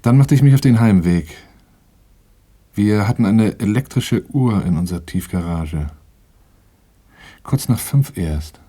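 A very low male voice narrates a radio crime drama. He is wary and matter-of-fact, with a soft, dark tone and a husky edge. His delivery is measured and sober. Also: expressive. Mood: sober, tense. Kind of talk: radio drama acting, first-person narration and dialogue.

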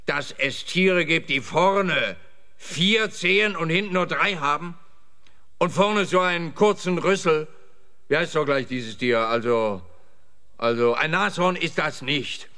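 A dim, pompous policeman in a radio comedy play. The voice is bright and forceful, pitched fairly high, blustering and rambling with indignation. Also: full character voice. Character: blustering, slow-witted, rambling male policeman.

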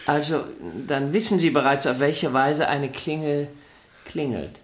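An actor in a German radio play playing a gentle, hesitant, earnest young musician. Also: male voice, a little high, fairly even intonation, a little slow, reverberant, close to an everyday voice. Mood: curious. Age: young adult.

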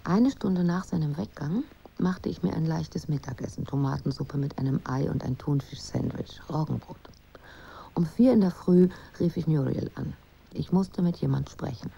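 A woman's voice: sits low, normal speed, warm tone, dull audio, slight background noise. An actress playing a wounded, pensive married woman in a radio drama, speaking intimately and huskily as if confiding in her father. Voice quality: husky, breathy.

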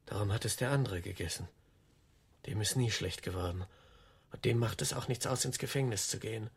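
An actor playing a rambling, self-assured suspect in a radio play, insistent and muddled, with a husky voice. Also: male voice, on the low side, brisk, exaggerated voice. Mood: smug, eerie. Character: rambling, agitated suspect boasting of an imaginary other.

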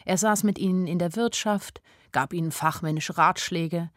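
A woman's voice: lowish voice, moderate pace, no room sound, clean studio sound, lightly acted. An actress playing an anxious young woman who narrates her own story in a radio drama, in a plain, introspective way. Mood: sober narrating.

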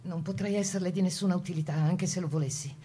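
A woman's voice: lowish voice, fairly even intonation, quick pace, dark tone, slight background noise, natural voice. An actress playing a young woman partisan prisoner in a radio drama, guarded and defiant, terse. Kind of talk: stage radio drama dialogue.

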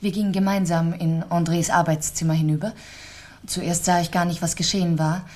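A woman's voice: fairly low pitch, level tone, brisk, darkish tone, natural voice. A woman playing a cool, guarded, newly widowed wife in a German radio crime drama, answering curtly and precisely. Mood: controlled. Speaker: actor in a radio crime play.

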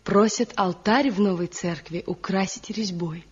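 An actress playing a tender young village wife in a radio drama, speaking softly and wistfully with a breathy voice. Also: female voice, mid-range voice, a little slow. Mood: earnest. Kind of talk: stage-trained radio drama acting. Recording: muffled old radio drama recording.

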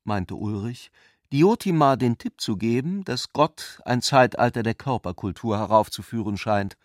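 A man's voice: medium pitch, expressive, moderate pace, no room sound, studio quality. A man reading scripted literary narration for a radio drama, acting out bits of dialogue.

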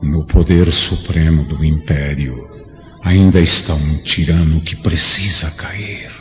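An actor playing the serene spirit of a departed Roman friend in a radio drama, exhorting solemnly in Portuguese. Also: male voice, very deep voice, fairly even intonation, slow. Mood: grave, urging. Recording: dull lo-fi radio drama recording. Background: faint background music.

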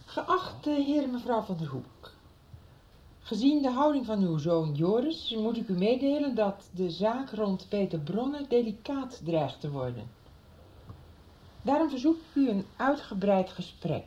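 Anxious middle-aged mother, unhurried, mellow, lively intonation, radio soap. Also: a little high. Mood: reading aloud, concerned. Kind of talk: Dutch radio soap acting. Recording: slightly dull radio broadcast recording.

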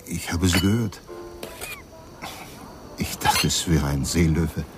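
An actor playing a deferential but suspicious man who questions slowly and deliberately in a radio play. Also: deep voice, music in the background. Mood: careful. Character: deferential but suspicious male acquaintance, probing.

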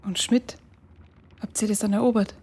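Husky, breathy, curious listener. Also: female voice, mid-range voice, fairly even intonation, normal speed, darkish tone. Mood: curious, concerned. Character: curious woman gently questioning a returned soldier. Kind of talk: German radio play dialogue.

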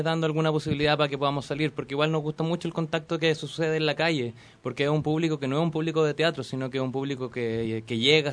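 A man, a theater actor on a radio interview, explaining fluently and earnestly. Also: fairly high pitch. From Chile.

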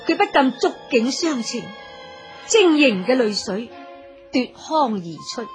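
A woman with a Cantonese accent narrating a scripted story in a theatrical, expressive manner. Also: a little high. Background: music.

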